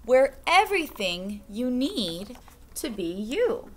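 A woman in her thirties, a teacher, reading a picture book aloud to young children in a classroom with a slow, sing-song storytime delivery. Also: a little high, big pitch swings, a little hiss or hum. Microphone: webcam at desk.

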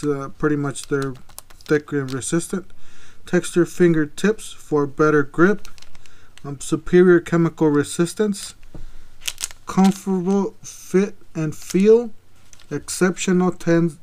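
A man in his thirties casually reviewing a product at an office desk, rambling with lots of ums and restarts. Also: a little high. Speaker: tool reviewer. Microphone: clip-on camera mic.

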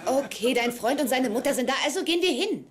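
A woman's voice: high voice, expressive, fast, slight background noise. An actress playing a blunt, sarcastic young woman in a dubbed sitcom, direct and down-to-earth. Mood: exasperated. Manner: sardonic, direct.

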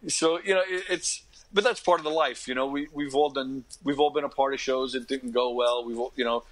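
A fifty-year-old man, chatting casually as an interview guest and telling stories in an animated, conversational way. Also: mid-range voice, fairly quick, low-quality recording. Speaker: interview guest, actor and comedian. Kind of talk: casual interview anecdotes and advice.

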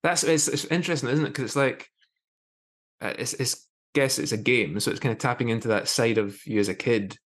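Fast-talking man hosting a podcast. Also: mid-range voice, fairly bright tone. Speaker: podcast host. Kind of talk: casual interviewing. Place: indoor room, on a video call.

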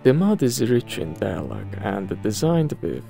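Lively male narrator with creaky voice. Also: lowish voice, a little slow. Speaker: video presenter. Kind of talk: scripted game review narration. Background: background music.

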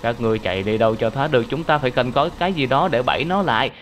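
A man acts out a giant cartoon snake monster, boastful and menacing. The threats are drawn out and taunting, with clear noise behind the voice. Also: mid-range voice, animated, rapid-fire, close to an everyday voice.

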